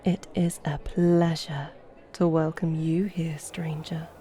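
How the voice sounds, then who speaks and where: A woman's voice: low voice, flat delivery, slow pace, warm tone. A woman playing a seductive, mischievous vampire in an ASMR roleplay, speaking in a flirtatious, languid, formal way.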